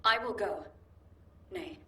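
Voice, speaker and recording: A woman's voice: fairly high pitch, big pitch swings, slow, pushed and bright, full character voice. A woman playing a proud crusader warrior in a video game, speaking in formal, old-fashioned, grave language. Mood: solemn, resolute. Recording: distant, echoey, noisy game audio.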